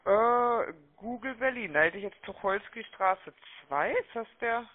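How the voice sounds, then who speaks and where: High-pitched man chatting on the phone. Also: animated, unhurried, a crowd in the background. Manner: chummy, wordy, often tags sentences with questioning "ne?" or "oder?". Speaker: phone sales agent from a call centre. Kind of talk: chatty, unscripted sales phone call. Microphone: telephone line. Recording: muffled, narrow-band phone-call audio.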